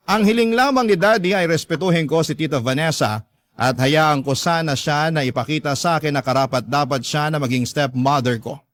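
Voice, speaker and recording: A man's voice: a little high, fairly quick, fairly bright tone, dry recording. A man with a Filipino accent narrating a listener's letter in the first person, as a dramatic radio storyteller.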